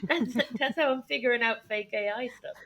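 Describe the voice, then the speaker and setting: This woman has a bright, forceful tone and rambles through her stories with lots of "uh" and "like." She giggles often while chatting as a comedian guest on a podcast. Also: on the high side, fairly quick. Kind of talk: casual comic chat.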